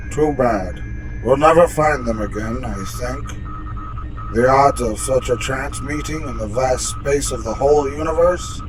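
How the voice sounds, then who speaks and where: A man voicing a wistful, resigned alien in a radio sci-fi drama, speaking in a measured, musing way. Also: mid-range voice, close to an everyday voice, poor audio. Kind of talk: golden age radio sci-fi drama acting.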